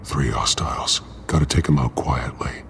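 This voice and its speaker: A masked crime fighter with an extremely deep, growling voice. He speaks in terse, stern commands, controlled and grim, in video game style over clear background noise. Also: fairly even intonation, moderate pace, fairly bright tone. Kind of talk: cinematic game voice acting.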